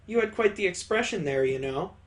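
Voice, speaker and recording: Teasing visual novel young woman, affectionate and playful, lightly pitched male performer. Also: expressive, a little fast. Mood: gently teasing.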